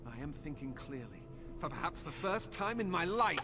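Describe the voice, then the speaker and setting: An actor playing a tormented, fanatical young templar prisoner in a video game, speaking formally with anguished intensity. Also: male voice, on the high side, very animated, normal speed. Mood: grim urgency. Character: tormented captive templar young man, fanatical and distrustful of mages. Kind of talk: dramatic video game voice acting. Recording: music behind the voice.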